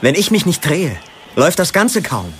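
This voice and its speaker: A man's voice: a little high, very animated, moderate pace, a little hiss or hum. A man playing a fervent football ultra who recalls his life as a chant leader, in dramatized audiobook narration. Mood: fired up. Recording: loud music and stadium crowd behind.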